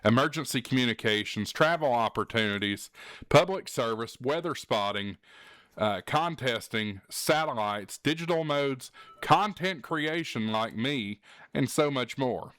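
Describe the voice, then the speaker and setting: A man's voice: medium pitch, expressive, a little slow, a bit bright, no echo, clean studio sound. A man hosting a hobby show, talking casually and directly to the listener in an earnest, encouraging way with emphasis on key words. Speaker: host of a ham radio show. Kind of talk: casual direct-to-camera talk.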